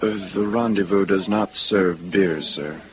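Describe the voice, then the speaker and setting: A man's voice: low-pitched, moderate pace, crowd noise, close to an everyday voice. An actor playing a pompous, courteous bartender at an exclusive bar in a 1950s radio drama, formal and flowery in his speech. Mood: formal, unruffled. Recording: noisy, narrow vintage radio recording.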